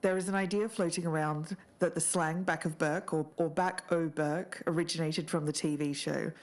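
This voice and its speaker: A woman's voice: deep voice, fairly even intonation, normal speed. A woman co-hosting a podcast, mixing scripted narration with casual asides. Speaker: podcast co-host.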